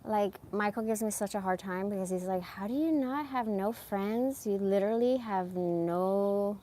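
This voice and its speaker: A woman in her forties with a medium-pitched voice, talking off the cuff. She is candid and emphatic, drifting through a casual personal monologue full of slang. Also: normal speed, warm tone, a little hiss or hum. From born in Iran, moved to America as a young child. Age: 40s. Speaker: live streamer.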